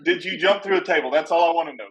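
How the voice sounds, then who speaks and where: A man's voice: a little high, very fast, fairly bright tone, dull audio. A man hosting a casual podcast, asking teasing, persistent questions. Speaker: podcast host.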